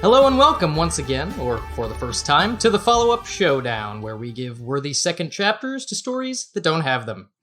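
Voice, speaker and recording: A man's voice: fairly high pitch, big pitch swings, moderate pace, few pauses, bright, forceful tone, faint music behind. A man hosting a podcast in casual conversation about film. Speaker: podcast host.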